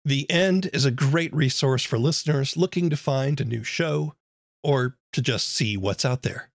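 A man's voice: mid-range voice, unhurried, vocal fry, no room sound, studio quality. A man hosting a podcast, reading scripted show copy and addressing the listeners. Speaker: podcast host. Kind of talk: scripted podcast outro and interview questions.

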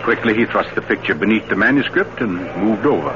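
A man narrating a suspense story in grave, deliberate 1940s radio style, building dread. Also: on the low side, expressive, noisy background, close to an everyday voice. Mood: grave, suspenseful. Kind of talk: dramatic scripted narration, 1940s radio acting. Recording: muffled 1940s radio recording, music behind. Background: dramatic music.

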